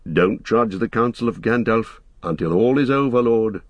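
A man as a calm, commanding warrior lord. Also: fairly low pitch, moderate pace, husky, no echo, lo-fi sound. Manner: steady, commanding, solemn. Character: noble male warrior leader, commanding and calm.

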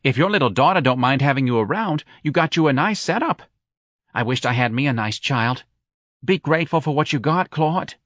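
A man's voice: mid-range voice, expressive, fairly quick, fairly bright tone, dry recording, studio quality, voice pushed up. A man voicing a simple, complaining middle-aged mother in an audiobook, plaintive, rambling and colloquial. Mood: admonishing.

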